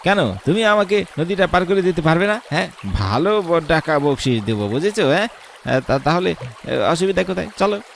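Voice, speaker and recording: Menacing, haughty village landlord, highish voice. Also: male voice, expressive, moderate pace, gravelly, slight background noise. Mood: haughty, impatient. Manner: bullying, haughty, taunting rhetorical questions. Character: menacing, scheming village landlord, arrogant murderer. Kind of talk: Bengali horror cartoon voice acting.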